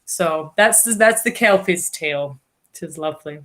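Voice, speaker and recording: A woman's voice: deep voice, moderate pace. Scottish woman telling folk tales. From Glasgow, Scotland. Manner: animated storyteller with fillers and restarts. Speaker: folklore podcast co-host, guest. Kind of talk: storytelling and casual chat.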